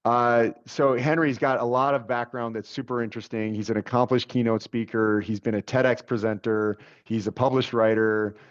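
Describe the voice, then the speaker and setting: A man in his forties hosting a webcast interview, chatty and friendly, with fillers and quick interjections. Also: mid-range voice, fairly even intonation. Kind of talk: casual conversational interview. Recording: dull lo-fi audio.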